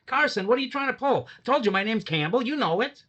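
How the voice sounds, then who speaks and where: A man's voice: extremely high pitch, expressive, quick pace, sharp tone, dull audio, full character voice. An actor in his forties playing a cagey, evasive male crook in a radio drama. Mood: defiant. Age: 40s. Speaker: suspect.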